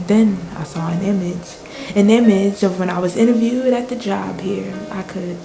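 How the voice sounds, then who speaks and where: Slow, echoey woman acting a shaken fisher storyteller. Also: on the high side. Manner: halting, dramatic storytelling with pauses and repetition. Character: shaken spiritual fisher recounting a near-death angel encounter. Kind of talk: radio play monologue. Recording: roomy echo with music underneath.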